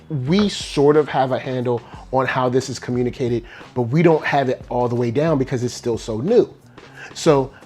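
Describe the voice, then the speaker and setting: A man's voice: mid-range voice, a little mellow. A man and father chatting casually on a podcast, laid-back and joking, full of street slang. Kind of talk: casual chat.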